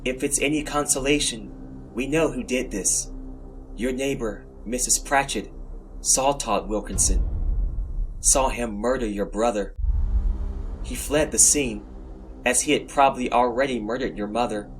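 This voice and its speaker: A man voicing a gentle female police detective in an audio horror story, speaking carefully and consolingly while probing. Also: medium pitch, unhurried, pauses often, a little hiss or hum, pitched above the performer's own voice, close to an everyday voice, low-quality recording.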